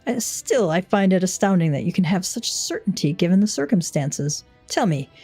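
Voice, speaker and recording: A woman's voice: fairly low pitch, big pitch swings, normal speed, mellow, husky, heavily acted. Woman playing nervous devoted male inventor, formal wordy speech, earnest, game story, music behind. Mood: cautiously assured. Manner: wordy, earnest, self-doubting.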